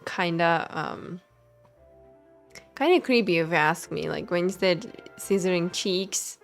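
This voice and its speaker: A woman streamer chats casually while commenting on a game. She is not a native English speaker and talks at a slow pace, playful and expressive, with soft music in the background. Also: on the low side, creaky. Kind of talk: casual live game commentary, chatting with viewers.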